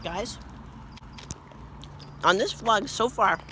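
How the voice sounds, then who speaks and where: A teenage boy vlogging on a walk down a quiet street, joking and rambling into a phone held at arm's length. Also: high-pitched, expressive, very slow, background noise. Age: teens. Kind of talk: goofy walking vlog.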